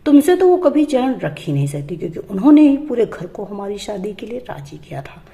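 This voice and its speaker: A woman storyteller playing a calm, reasonable young husband who explains things patiently. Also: mid-range voice, brisk, gravelly.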